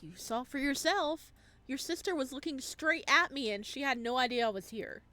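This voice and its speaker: A woman's voice: high-pitched, moderate pace, sharp tone, no echo, voice pushed up. Woman reading as an anxious young male ghost. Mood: agitated. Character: anxious, lonely young male ghost narrating his story. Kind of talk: visual novel read-aloud, first-person narration.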